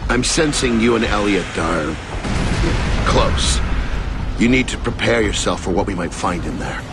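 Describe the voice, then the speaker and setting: An actor playing a forceful, authoritative army lieutenant in a video game cutscene, with music over him. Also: male voice, fairly low pitch, lively intonation, a little slow, vocal fry. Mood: serious. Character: commanding, urgent male army lieutenant in battle. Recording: loud music and battle noise.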